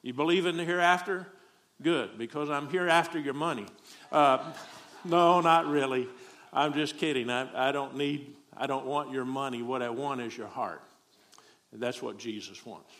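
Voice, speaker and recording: A man's voice: mid-range voice, moderate pace, a bit bright. A middle-aged man from Texas with a Southern accent, preaching a folksy, joking sermon as a guest preacher.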